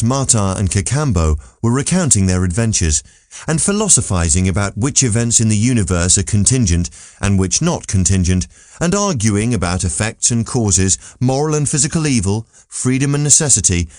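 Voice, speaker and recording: A man's voice: fairly low pitch, a little mellow, no echo, clean studio sound. A man narrating a classic literary story from a script as an audiobook reader.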